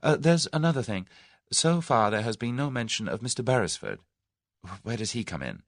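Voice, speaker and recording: A man reading the part of a spirited, wary young woman in an audiobook, brisk and wry, raising his voice for her. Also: medium pitch, big pitch swings, dry recording, clean studio sound, pitched above the performer's own voice. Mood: wary, pert. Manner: brisk, wry, lightly raised voice.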